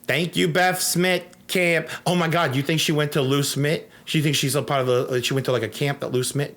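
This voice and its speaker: A man's voice: on the high side, little pitch movement, fairly quick, fairly bright tone. A man co-hosting a comedy podcast, bantering in a crude, rambling, mock-grandiose way and repeating himself. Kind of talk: unscripted comic banter.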